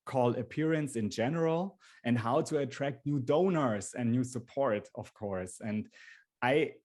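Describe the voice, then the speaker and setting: A man's voice: medium pitch, measured pace, a little mellow. German-accented man hosting a webinar. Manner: earnest, repeats and restarts phrases. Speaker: webinar host. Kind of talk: live webinar presentation.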